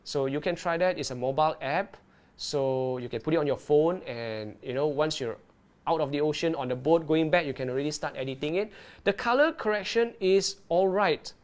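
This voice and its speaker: Lively man presenting on a livestream. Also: a little high, normal speed. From Malaysia. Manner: lively, keeps checking in with "right?". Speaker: underwater video presenter. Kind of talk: live-streamed tutorial and Q&A.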